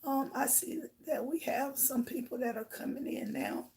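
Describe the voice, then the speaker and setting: A woman hosting her own live talk show, chatting casually with viewers in a hoarse, raspy voice and rambling with lots of fillers. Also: high voice, moderate pace, a little mellow. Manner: rambling, filler-heavy storytelling. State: hoarse from shouting. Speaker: livestream host.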